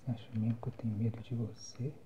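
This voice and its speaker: Young man, Brazilian accent, soft whispered ASMR game commentary, dark mellow tone, flat intonation, slangy. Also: mid-range voice, quick pace, muffled recording, a little hiss or hum. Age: young adult. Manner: casual, slangy. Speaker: gamer, ASMR channel host. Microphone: phone microphone, close.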